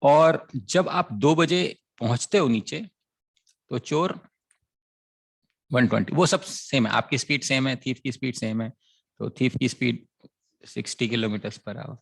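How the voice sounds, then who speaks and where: Husky gravelly man teaching maths. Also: medium pitch, a little slow, long pauses. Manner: explains a word problem as a story, checking often that it is understood. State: sore throat. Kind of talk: classroom lecture.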